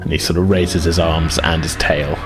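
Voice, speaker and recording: A man's voice: rumbling low, flat delivery, normal speed, over music. A man acting as game master, narrating a tabletop game in an improvised, hesitant, conversational way.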